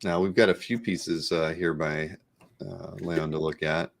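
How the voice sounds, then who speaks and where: A man's voice: sits low, fairly even intonation, measured pace, gravelly, slight background noise. A man hosting a casual interview, chatting appreciatively as he comments on artwork. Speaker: show host, interviewer.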